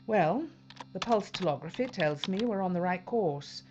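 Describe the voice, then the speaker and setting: A woman playing a weary, determined spaceship pilot and commander in a science fiction audio drama, brisk and businesslike with a wry edge. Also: lowish voice, expressive, a little mellow, muffled recording, slight background noise, natural voice. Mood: relieved.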